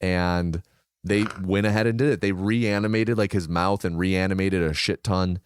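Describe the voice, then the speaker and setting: Low, smooth-voiced man sharing film trivia. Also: fairly even intonation, moderate pace, no echo, studio quality. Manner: informative, shares researched trivia with frequent fillers. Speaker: podcast co-host. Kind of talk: casual film podcast chat.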